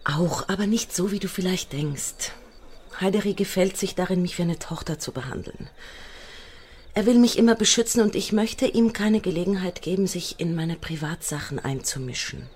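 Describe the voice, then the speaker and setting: Guarded young lady with a lowish voice. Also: moderate pace, darkish tone, breathy edge. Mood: uneasy, intimate. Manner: formal, guarded. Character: guarded, proud young lady, formal and secretive. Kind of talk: German radio drama acting.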